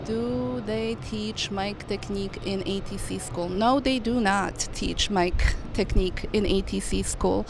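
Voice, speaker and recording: A woman's voice: mid-range voice, unhurried, vocal fry, few pauses. A woman air traffic controller chatting on a livestream, friendly, laughing often and pronouncing every word carefully. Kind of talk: casual livestream chat. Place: outdoors near an airport.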